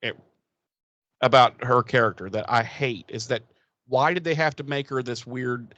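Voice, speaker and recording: A man of medium pitch offers casual commentary with strong opinions. His delivery is blunt and emphatic, peppered with profanity. The recording is dry, with little room sound. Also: steady tone, moderate pace, fairly bright tone, dry recording, lo-fi sound. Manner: blunt, emphatic, foul-mouthed. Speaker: podcast co-host.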